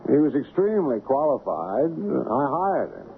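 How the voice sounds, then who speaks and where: An actor playing a guarded, genteel shipping boss in an old radio mystery, formal, deliberate and halting. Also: male voice, medium pitch, sing-song, gravelly. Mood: smooth, insinuating. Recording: narrow, noisy old radio broadcast.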